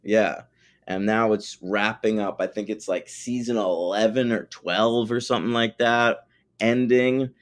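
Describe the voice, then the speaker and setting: A man's voice: fairly low pitch, fairly even intonation, measured pace. A man in his forties co-hosting a casual film podcast, wry and rambling, with casual swearing. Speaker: podcast co-host.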